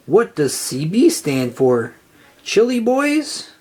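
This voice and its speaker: A storybook reader voicing an excitable, earnest, nervous young male cartoon sea sponge. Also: mid-range voice, takes it slowly, slightly rough, full character voice. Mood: nervous, puzzled. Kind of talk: children's storybook character read-aloud.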